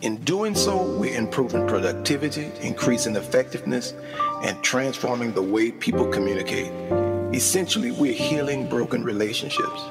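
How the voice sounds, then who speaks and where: A man's voice: mid-range voice, animated, normal speed, over loud music. A man reading a promotional announcement in an emphatic, rallying tone. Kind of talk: promotional ad read.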